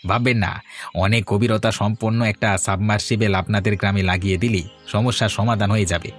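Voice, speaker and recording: A man's voice: fairly low pitch, fairly even intonation, brisk, faint music behind, natural voice. A young man voicing a confident nephew who supervises a water plant. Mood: reassuring. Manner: reassuring, explaining.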